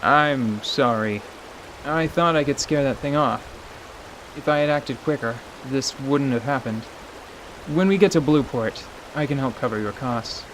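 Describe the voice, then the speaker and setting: A man playing a gruff, self-doubting adventurer and hunter in a fantasy audio drama, speaking in a hesitant, earthy way. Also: medium pitch, measured pace, slight background noise, natural voice, low-quality recording. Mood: apologetic. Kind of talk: fantasy radio drama acting. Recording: steady rain and stream ambience behind.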